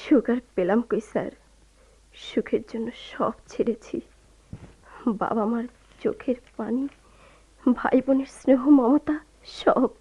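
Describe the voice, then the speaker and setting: A dull, lo-fi radio drama recording of an anguished young wife. Her voice is high, tremulous and pleading, deferential even in distress. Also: measured pace, pauses often, husky. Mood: sorrowful. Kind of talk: emotional Bengali radio play acting.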